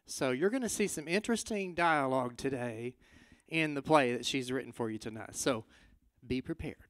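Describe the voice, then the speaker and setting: A man's voice: mid-range voice, animated, normal speed, dry recording. A man hosting an evening, giving an informal, conversational introduction to a staged play and speaking straight to the audience. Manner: conversational, addressing audience directly.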